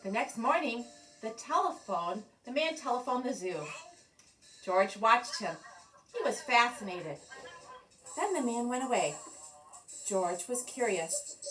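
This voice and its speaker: A woman reading a children's picture book aloud in an expressive, deliberate storytelling manner with dramatic pauses. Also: on the high side, distant mic, faint music behind, poor audio. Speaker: storybook reader.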